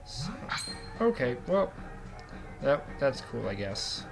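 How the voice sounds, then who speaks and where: A young man with a starting cold, a bit sniffly, chatting easygoingly as he hosts a game playthrough from a small quiet closet. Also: mid-range voice, big pitch swings, slow pace, vocal fry. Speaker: let's play host. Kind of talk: casual gameplay commentary. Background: faint swing game music.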